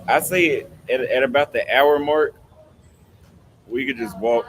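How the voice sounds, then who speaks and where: A man's voice: mid-range voice, unhurried, poor audio. Laid-back guy chatting on a stream outside, swears a lot, jokey, faint noise behind. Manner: laid-back, profane, joking. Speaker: livestream host. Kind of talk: casual livestream chat.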